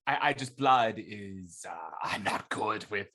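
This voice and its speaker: A man's voice: lowish voice, unhurried, pushed and bright. A man playing a squeamish, apologetic young man in a radio murder mystery, nervous and stammering. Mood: queasy, flustered.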